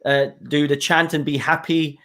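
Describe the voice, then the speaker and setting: A male podcast host with a slightly high voice who talks in a friendly, chatty way. He laughs a lot and often stumbles and restarts mid-sentence as he asks his questions. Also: little pitch movement, normal speed, fairly bright tone. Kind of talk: casual interview chat.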